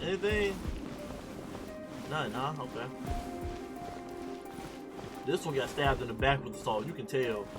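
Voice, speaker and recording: Slangy man streaming over loud game music. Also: medium pitch, unhurried, long pauses. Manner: slangy, repeats phrases, excitable outbursts. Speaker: gaming streamer. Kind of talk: casual gameplay commentary.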